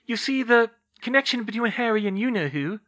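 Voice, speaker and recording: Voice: medium pitch, animated, a little fast, a bit bright, muffled recording, voice pushed up, close to an everyday voice. A narrator voicing an earnest, anxious teenage witch girl with an emphatic manner, in a fantasy audiobook.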